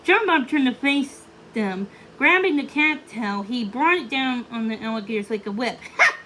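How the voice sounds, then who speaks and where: A woman reading a children's story aloud as narrator, halting now and then over words. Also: a little high, animated, bright, forceful tone, a little hiss or hum, low-quality recording.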